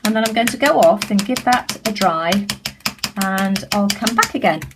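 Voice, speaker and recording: A middle-aged British woman with a slightly croaky voice, casually talking through a craft demonstration with lots of ums and restarts. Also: mid-range voice, expressive, normal speed, noisy background. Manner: chatty, many ums and restarts. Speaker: craft tutorial host. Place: home craft table.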